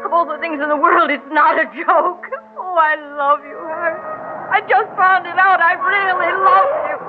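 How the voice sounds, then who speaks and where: An actress playing an anguished, loving small-town wife, faltering and pleading in an old-time radio drama style. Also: female voice, very high-pitched, steady tone, moderate pace, over music. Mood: desperate, tearful. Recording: muffled, noisy old radio recording.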